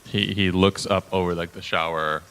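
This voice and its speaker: A man with a deep, low-pitched voice casually improvises narration as a player in a tabletop game. The sound carries long reverb. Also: fairly even intonation, normal speed, fairly bright tone, smooth.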